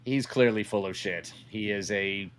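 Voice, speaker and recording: A man's voice: fairly low pitch, measured pace. A man co-hosting a podcast in a casual, opinionated conversational style. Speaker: podcast co-host.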